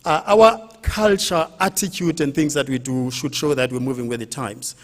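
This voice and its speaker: A man's voice: mid-range voice, moderate pace, big-room sound. A man hosting a campus health event, speaking to a crowd and calling people to come forward. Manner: animated, calls the crowd to join in. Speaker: event host. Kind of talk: public address at an outdoor event.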